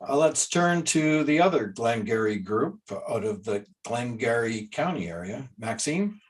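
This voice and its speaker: A man chairing an online meeting, relaxed and friendly as he moves through the list. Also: medium pitch, measured pace, far from the mic.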